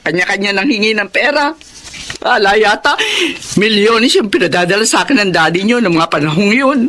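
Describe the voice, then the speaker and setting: A woman's voice: medium pitch, expressive, brisk, a bit bright, a little hiss or hum, lightly acted. A woman playing an outspoken middle-aged Filipino wife and mother in a radio drama, emphatic and indignant. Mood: bitter.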